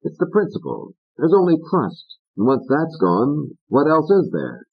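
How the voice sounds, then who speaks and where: A man's voice: fairly high pitch, sing-song, a little slow, creaky, husky, lo-fi sound, voice pushed up, full character voice. A man voicing a troubled, desperate young man in an audiobook. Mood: pleading. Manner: pleading.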